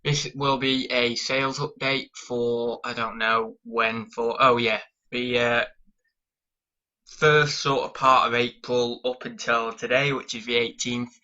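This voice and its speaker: A man's voice: mid-range voice, flat delivery, slow, sharp tone, dull audio. A young British man with a cold, chatting casually through a sales update and rambling with lots of ums. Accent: British English. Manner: rambling with many ums and restarts. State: sniffly with a cold. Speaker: online reseller vlogger.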